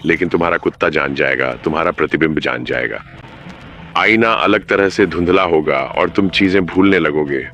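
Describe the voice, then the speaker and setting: Deep-voiced man narrating ominous horror. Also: a bit bright, slight background noise. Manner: ominous, addresses the listener directly.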